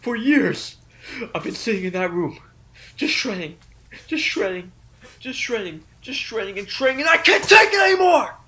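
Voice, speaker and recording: A man voicing a desperate office worker who rants and yells near tears, in a comedy sketch. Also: very high voice, sing-song, normal speed, heavily acted, low-quality recording. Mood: ranting. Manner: yelling, ranting, near tears. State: shouting. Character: fed-up young male office worker, desperate, exploding. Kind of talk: comedy voice acting.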